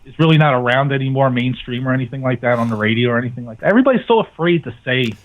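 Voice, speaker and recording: Tinny phone-like sound, middle-aged man, medium pitch, casual talk radio chat. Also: animated, racing. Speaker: radio show guest, talk host.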